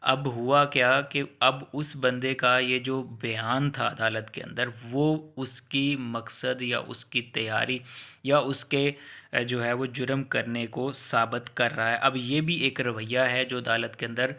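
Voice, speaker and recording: A man's voice: medium pitch, level tone, takes it slowly, bright, forceful tone. A man with a Pakistani accent giving an explanatory law lecture in a conversational, step-by-step way, repeating points and mixing in English legal terms. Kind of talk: explanatory lecture with hypothetical examples.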